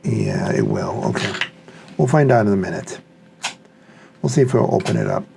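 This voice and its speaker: A man's voice: low-pitched, huge pitch swings, normal speed, vocal fry, noisy background. A man thinking aloud in a casual, unscripted, tentative way as he reviews a product. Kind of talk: casual unscripted unboxing commentary.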